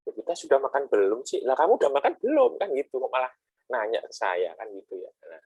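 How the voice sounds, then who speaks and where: A man's voice: mid-range voice, wildly swooping pitch, fast, a little mellow, husky, no room sound. A middle-aged man giving a classroom lecture in a chatty, explaining way, with frequent rhetorical asides and homely analogies.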